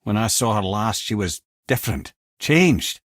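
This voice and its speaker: A man's voice: on the low side, a little slow, no room sound, clean studio sound. A man voicing a witty, worldly old sage for a video game, telling tales with a Scottish accent in an eloquent, avuncular way. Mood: grave storytelling. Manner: wry, eloquent, avuncular, expressive. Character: witty old severed talking head, worldly storyteller sage. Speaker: companion and storyteller. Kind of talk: video game voice acting, storytelling.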